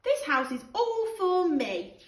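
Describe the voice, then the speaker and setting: A woman voicing a bossy, possessive little boy in a picture-book read-aloud, loud, emphatic and defiant. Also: very high-pitched, very animated, slow, voice pushed up, exaggerated voice. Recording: roomy, distant microphone.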